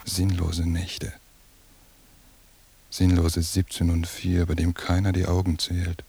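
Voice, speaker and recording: Gravelly, weary male surveillance cop. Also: deep voice, completely flat delivery, slow pace, warm tone, slight background noise, heavily acted. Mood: exhausted, bitter. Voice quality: gravelly, husky. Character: weary, suspicious male police surveillance officer, brooding in thought. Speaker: radio drama actor. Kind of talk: 1990s radio drama inner monologue.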